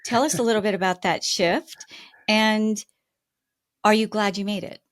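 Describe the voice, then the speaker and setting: A woman hosts a podcast interview and asks her guest questions in an encouraging, conversational way. Her voice sits at an everyday middle pitch. The recording is dry, with almost no room sound. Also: fairly even intonation, moderate pace, no echo. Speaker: podcast host.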